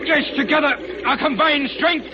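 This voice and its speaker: An actor playing a steadfast, compassionate hero, earnest and reassuring, in 1930s radio drama style. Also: male voice, very high voice, steady tone, moderate pace. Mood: urgent. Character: steadfast, compassionate male hero comforting a troubled man. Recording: very noisy dull 1930s radio recording.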